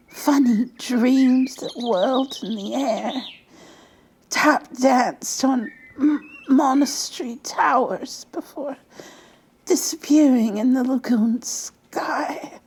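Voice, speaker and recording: A woman voicing a frail, wistful elderly woman who tells wartime memories in a breathy, halting ASMR storytelling whisper. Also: high-pitched, darkish tone, heavily acted. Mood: tender nostalgia. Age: old. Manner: halting, repeating words, trailing pauses.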